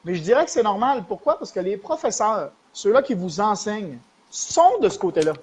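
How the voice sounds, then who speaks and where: A man's voice: high-pitched, big pitch swings, brisk, husky. A man with a Québécois French accent hosting a live stream, explaining money matters to viewers and addressing them directly. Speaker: host of a live stream show.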